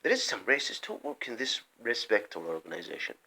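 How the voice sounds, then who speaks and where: A man delivering an impassioned, rambling political monologue, breaking off mid-sentence and swinging between loud outbursts and muttered asides. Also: medium pitch, big pitch swings, quick pace. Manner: rambling, emphatic, often breaks off and restarts. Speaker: vlogger.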